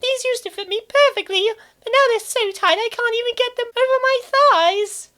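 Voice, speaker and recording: A woman's voice: extremely high pitch, normal speed, pushed and bright. A woman playing a naive young college woman in a comic dub, narrating and exclaiming theatrically. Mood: exasperated. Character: cheerful naive college woman narrating her weight gain.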